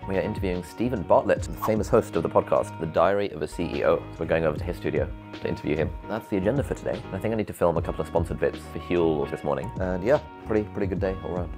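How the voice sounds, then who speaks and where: A man talking casually to camera in a vlog, chatty and quick, with lots of "like" as filler. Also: low voice, over music. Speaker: vlogger and podcast host.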